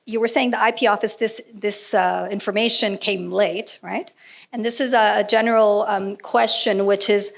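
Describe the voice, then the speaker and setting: A woman with a Colombian Spanish accent moderates a panel. Her voice has a strong vocal fry, and she asks her questions in a searching way, repeating words as she goes. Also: medium pitch, a bit bright.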